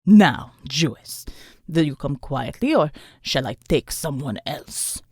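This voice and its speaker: A man's voice: on the high side, animated, breathy edge, no room sound, studio quality, voice pushed down, full character voice. A woman growling as a snarling male thug. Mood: menacing sneer. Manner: snarling, slow and menacing. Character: cruel sneering male thug leader.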